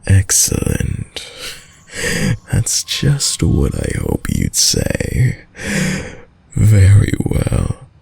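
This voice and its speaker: A man playing an ancient, sadistic vampire lord in a roleplay audio drama, deep and gravelly, speaking with silky, mock-tender menace and theatrical dominance. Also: darkish tone, exaggerated voice. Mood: triumphant.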